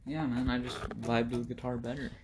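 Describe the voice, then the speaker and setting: A young man teases his friends in a loose, casual chat. His voice sits at an everyday pitch, with faint room noise behind it. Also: level tone, fairly quick, a little mellow. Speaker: guest.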